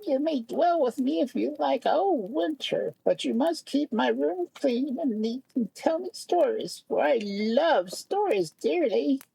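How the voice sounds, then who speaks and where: High-voiced kindly old field mouse. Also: expressive, a little slow, gravelly, hardly any pauses, mellow, full character voice, rough recording. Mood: kind, welcoming. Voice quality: thin, high-placed voice.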